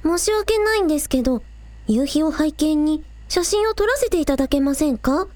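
A spirited young village idol, played by a woman in a radio drama. Her voice is very high and recorded dry. She is earnest and polite, turning breezy among friends. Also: animated, a little fast, a little mellow, no echo. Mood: eager, polite.

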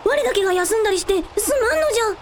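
A woman's voice: very high-pitched, animated, fast talker, a little hiss or hum. A woman playing a young, earnest dragon prince in a radio drama, speaking in archaic lordly phrases with childlike openness. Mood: concerned, tender. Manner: old-fashioned lordly speech with childlike openness. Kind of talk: Japanese radio drama acting.

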